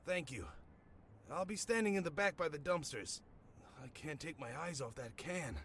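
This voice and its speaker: A man's voice: a little high, animated, normal speed, fairly bright tone, slightly rough, exaggerated voice. A man playing a nervous, chatty comic shop owner in an audio drama, stammering and wry. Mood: shaken, anxious. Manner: nervous, stammering, wryly talkative. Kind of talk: naturalistic audio drama acting.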